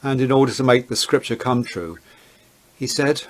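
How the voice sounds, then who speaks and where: A man narrating a dramatized Bible reading in a measured, deliberate way. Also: medium pitch.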